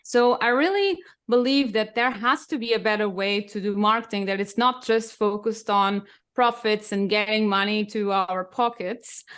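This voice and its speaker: A woman's voice: mid-range voice, normal speed, a bit bright, clean tone. A woman from Portugal, Portuguese as her first language, speaking as a guest on a podcast in enthusiastic casual chat. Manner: enthusiastic, laughs often.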